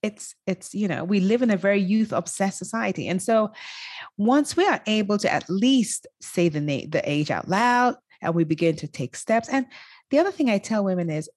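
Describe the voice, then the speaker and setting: A woman's voice: mid-range voice, darkish tone, slightly rough, dry recording, clean studio sound. A woman in her fifties, a life coach, talking enthusiastically as a guest in an interview and laughing easily. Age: 50s. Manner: enthusiastic, quick to laugh, emphatic. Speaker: guest, life coach for women over fifty. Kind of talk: interview conversation.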